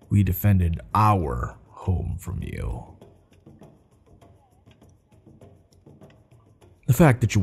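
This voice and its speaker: A low, heavily gravelled male warchief acted by a man in an ASMR roleplay. He is proud and commanding with a teasing edge, taking his time over formal, measured words, and his pitch moves expressively. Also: dark tone, exaggerated voice. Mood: stern, defiant. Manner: slow, measured, stern yet amused, formal phrasing.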